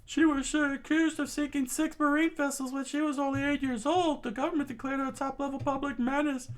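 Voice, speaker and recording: A man doing a high excitable soldier. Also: a little fast. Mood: excited.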